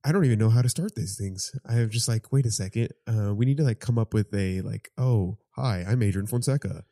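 Young male host, twenties, low warm voice, halting casual delivery, dry sound. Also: dry recording. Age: 20s. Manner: hesitant, laughing, many fillers. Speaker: podcast host. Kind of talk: casual podcast chat.

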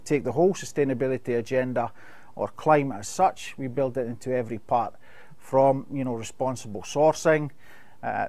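Brief: middle-aged Scottish man, webinar guest, halting, filler-heavy delivery, dead dry sound. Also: medium pitch, darkish tone, no room sound. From Scotland. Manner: measured, many fillers and restarts. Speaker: company sustainability expert. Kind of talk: webinar Q&A answers.

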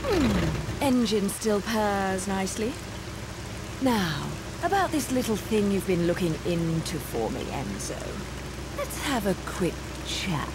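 A woman playing a supremely confident, cheeky female fighter in a video game cutscene, teasing and coolly unhurried. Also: medium pitch, sing-song, noisy background. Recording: game audio under loud music.

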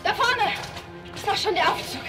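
A woman plays a young, plucky, slightly uneasy crewwoman in a sci-fi radio play. Her high voice swings in pitch over loud music. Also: lively intonation, moderate pace. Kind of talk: German sci-fi horror radio drama acting.

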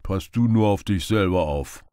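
A man's voice: low-pitched, moderate pace, mellow, no echo, studio quality, lightly acted. A man voicing a jovial, bantering outlaw companion in an audiobook. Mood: amused banter. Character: hearty male outlaw companion, good-humoured and bantering.

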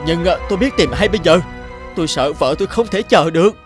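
A man's voice: fairly high pitch, quick pace, natural voice. A man voicing an anxious, desperate village husband in a cartoon, pleading fretfully. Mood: worried. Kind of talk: animated moral tale dubbing. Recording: music behind the dialogue.

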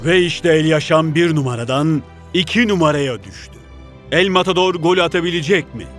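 A man's voice: fairly high pitch, expressive, normal speed, fairly bright tone, soft background music. A man playing a slick, dramatic reality TV narrator in a cartoon. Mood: suspenseful. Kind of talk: scripted reality-show narration.

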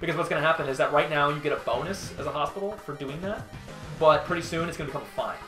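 Young man, fast, rambling co-host with casual swearing, roomy sound with game music underneath. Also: a little high. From Wisconsin, USA. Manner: rambling storyteller, swears freely, restarts often. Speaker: co-host of a gaming show. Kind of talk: casual commentary chat over gameplay.

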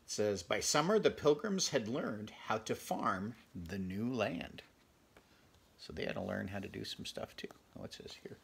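A man in his fifties with a gravelly voice reads a picture book aloud, adding chatty, conversational asides for young listeners. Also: on the low side, animated, unhurried. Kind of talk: children's read-aloud with casual asides. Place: small furnished bedroom, indoors.

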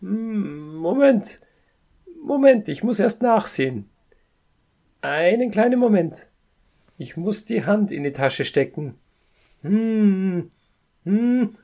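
A man's voice: sits high, animated, takes it slowly, long pauses, mellow, pitched above the performer's own voice, exaggerated voice. A man voicing a bumbling, hesitant little wizard reciting singsong spells from an audio play.

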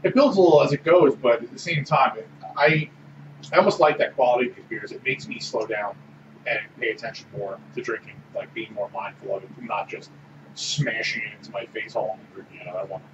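A man's voice: mid-range voice, brisk. A man co-hosting a casual beer-tasting podcast, talking thoughtfully and laughing easily. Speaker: podcast co-host. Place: small room with orange walls. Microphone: desk mic on boom arm. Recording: roomy, distant, dull with loud background hiss.

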